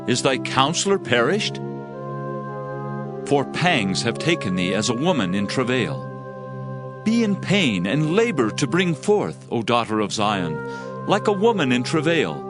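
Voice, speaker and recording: An actor playing a fervent, solemn prophet in a dramatized scripture reading, declaiming judgment and hope. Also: male voice, medium pitch, very animated, measured pace, pauses often. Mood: solemn, exalted. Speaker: Bible narrator. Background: music.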